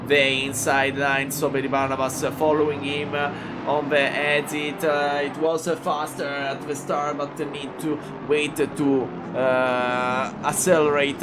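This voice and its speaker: A man from Italy with an Italian accent, calling a live sim race in halting English with many fillers and excited jumps. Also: medium pitch. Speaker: race commentator. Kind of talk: live sim racing commentary.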